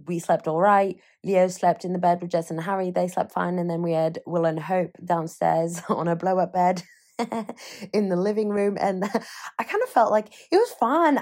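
A woman in her thirties with a slightly croaky voice, chatting casually on her own podcast, rambling and poking fun at herself. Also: mid-range voice, darkish tone. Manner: rambling, self-mocking, loses her train of thought. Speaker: podcast host. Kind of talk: casual solo chat.

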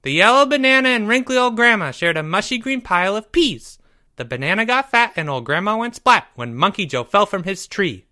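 A man's voice: high voice, big pitch swings, brisk, pushed and bright, no echo, studio quality, voice pushed up, heavily acted. A man voicing an earnest young schoolboy who recites a silly poem in a sing-song manner in a storybook. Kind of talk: storybook character voice.

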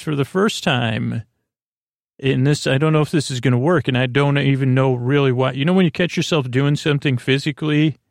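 A man with a gravelly, creaky voice hosts a sleep podcast in a lulling ramble. He speaks haltingly at a middling pitch and wanders off into tangents. The sound is close and dry. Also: dry recording. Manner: meandering, halting, trails off into tangents; soothing, lulling delivery. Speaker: podcast host. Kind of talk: rambling bedtime podcast monologue.

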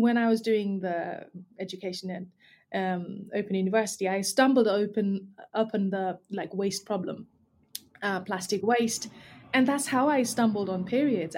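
A woman from Nepal, a company founder, talking as a guest in a relaxed, hesitant way with many restarts. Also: medium pitch, moderate pace. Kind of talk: casual interview chat. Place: industrial area, indoors.

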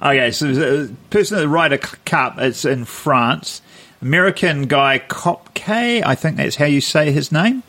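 A man co-hosting a podcast, telling stories in a relaxed way and laughing often. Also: on the high side, moderate pace. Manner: easygoing storyteller, laughs often. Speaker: podcast co-host. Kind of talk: casual chat.

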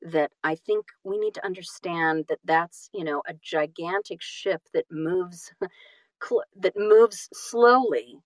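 A woman chatting casually about her trade in an emphatic, animated way, often doubling back and repeating words. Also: fairly low pitch, slow pace, dry recording. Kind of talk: casual conversation about the audiobook business.

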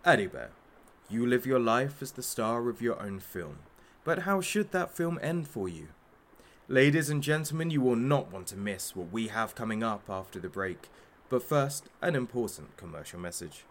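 A man's voice: mid-range voice, sing-song, moderate pace. An actor playing a masked kidnapper who hosts a sadistic hostage talk show in an audio drama, as a mocking, theatrical showman. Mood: smug showman.

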